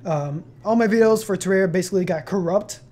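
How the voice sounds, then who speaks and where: A man's voice: high voice, fast, a little mellow. A seventeen-year-old young man hosting a casual question-and-answer chat on his channel, rambling with many fillers and laughs. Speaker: channel host.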